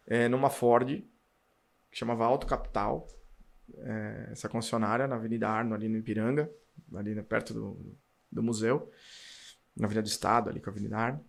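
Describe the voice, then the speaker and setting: Gravelly forties man telling his story. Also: mid-range voice, moderate pace, long pauses. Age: 40s. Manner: animated storytelling, acts out past dialogue. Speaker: podcast guest, car dealer. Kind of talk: casual storytelling interview.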